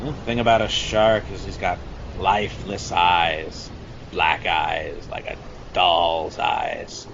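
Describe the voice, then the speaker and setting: A man playing a gruff, boastful old shark hunter and war survivor in an amateur audio drama, telling salty, rambling stories. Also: deep voice, little pitch movement, slow, full character voice. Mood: grim, haunted. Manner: rambling salty storyteller, addressing listener as Chief. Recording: dull lo-fi audio with loud background noise.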